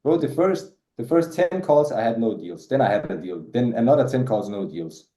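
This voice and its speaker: A man's voice: medium pitch, animated, moderate pace, warm tone, echoey room. A man, answering interview questions casually and repeating words as he talks. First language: Danish. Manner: repeats words, says "bro" often. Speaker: guest, sales closer.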